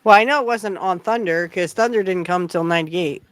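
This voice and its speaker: A woman's voice: lowish voice, a little fast, dry recording. A woman co-hosting a casual wrestling podcast, chatty and giggly, talking in fits and starts with plenty of fillers. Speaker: podcast co-host.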